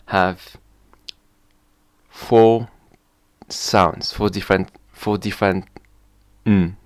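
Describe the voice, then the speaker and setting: A man with a Japanese accent, explaining in a hesitant, halting vlog way and slowly sounding out example words. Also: deep voice, clear, resonant tone, dry recording. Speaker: vlogger. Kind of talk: casual spoken explanation to camera.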